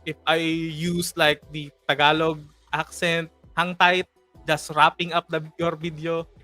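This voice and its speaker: A man's voice: fairly high pitch, flat delivery, unhurried, pushed and bright, no room sound, faint music behind. A man with a Filipino accent hosting a live screen-share tutorial, chatty and full of fillers, with joking asides to viewers. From Philippines. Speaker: host.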